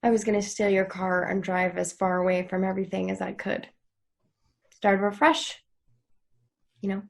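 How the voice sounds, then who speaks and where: A woman reading the part of a wounded, wistful woman longing to escape, softly confiding, in a dramatic table read. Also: medium pitch, flat delivery, normal speed, vocal fry, pauses often. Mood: wistful, hurt.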